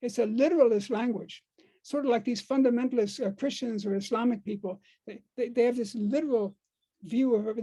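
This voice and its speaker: An older American man giving an informal talk to a group, speaking haltingly and repeating words and phrases for emphasis. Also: extremely high pitch, dark tone. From United States. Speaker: speaker on dream work.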